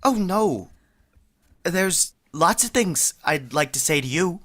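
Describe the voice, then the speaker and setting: A woman's voice: fairly low pitch, expressive, slow pace. A woman playing a timid, tongue-tied young man in a vintage-style radio play. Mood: flustered. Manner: stammering, timid.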